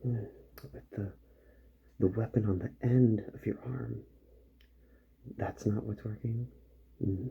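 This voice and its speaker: A man doing a soft-spoken ASMR roleplay as a calm, methodical repair doctor, musing gently to himself and often drifting into a whisper. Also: lowish voice, slow, creaky, long pauses, slightly rough. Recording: muffled audio with faint background noise.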